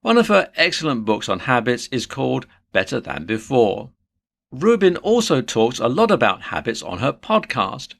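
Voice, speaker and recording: A man's voice: mid-range voice, sing-song, moderate pace, creaky, fairly bright tone. A man reading a scripted informational narration, presenting to the listener in an upbeat way.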